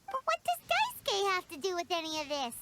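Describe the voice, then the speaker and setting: Tiny childlike fairy sidekick, video game, extremely high squeaky voice, wildly swooping intonation, curious, pert. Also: female voice, wildly swooping pitch, brisk, over loud music, heavily acted. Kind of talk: scripted video game voice acting.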